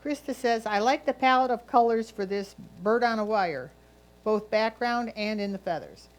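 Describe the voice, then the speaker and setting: A woman's voice: on the high side, lively intonation, normal speed, rough recording. A woman reading a judge's written comments aloud as a critique.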